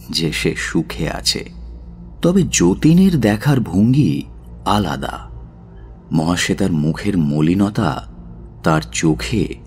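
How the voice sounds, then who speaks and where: Deep, slow, expressive male narrator. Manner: measured, literary. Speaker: story narrator. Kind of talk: dramatic scripted narration of an audio story.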